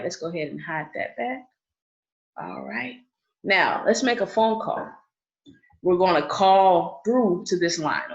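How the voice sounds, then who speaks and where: A woman's voice: on the low side, dull audio. A woman giving an online training session in a casual, chatty way, often checking in with "okay?". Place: indoors, on a video call. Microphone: video call.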